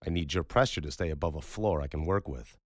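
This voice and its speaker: Man reading an unbothered er doc, low husky voice, clipped and flat. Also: fast talker, no room sound, clean studio sound. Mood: calm. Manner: calm, clipped and matter-of-fact. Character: calm, dry-witted male trauma doctor.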